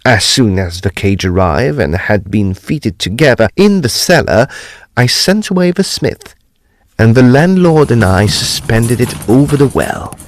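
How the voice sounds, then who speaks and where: A man playing a courteous, precise gentleman ghost hunter, telling his gothic tale in a formal, deliberate, expressive way. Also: medium pitch, no room sound. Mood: measured narrating. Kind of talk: dramatised gothic storytelling.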